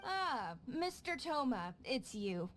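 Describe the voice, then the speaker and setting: A woman's voice: high voice, sing-song, takes it slowly, slight background noise, heavily acted. An actress playing a formal, unflappable and brazenly greedy female clerk in a video game. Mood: polite, yielding. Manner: formal, businesslike.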